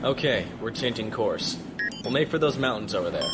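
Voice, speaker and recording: An actor playing a calm, determined spaceship pilot in a science fiction audio drama, speaking in clipped commands. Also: male voice, on the low side, a little fast, music in the background, lightly acted. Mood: tense command. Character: calm, determined male spaceship pilot, steady under fire.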